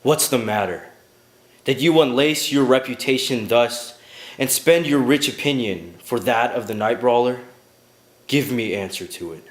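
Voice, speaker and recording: An actor playing a stern, dignified general, declaiming with authority in classical stage style. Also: male voice, medium pitch, a little slow, close to an everyday voice. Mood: stern questioning. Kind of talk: classical Shakespearean stage acting. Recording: echoey hall, faint noise.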